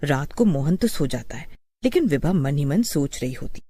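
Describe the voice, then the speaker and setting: A woman's voice: low-pitched, big pitch swings, moderate pace, dark tone, a little hiss or hum. A woman storyteller reading a scripted horror story as narrator.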